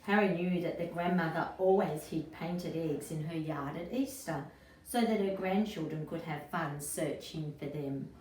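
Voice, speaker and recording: Low-voiced woman reading a storybook, roomy echo. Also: a little slow. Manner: expressive, asks the child listeners questions. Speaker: early childhood educator reading aloud. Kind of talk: children's storybook read-aloud. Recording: roomy echo, distant mic, faint noise.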